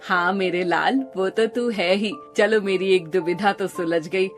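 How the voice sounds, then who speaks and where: A woman voicing a patient, devout poor village mother, gentle and earnest, in a moral story. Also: mid-range voice, measured pace, soft background music, natural voice. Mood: gentle, reassuring.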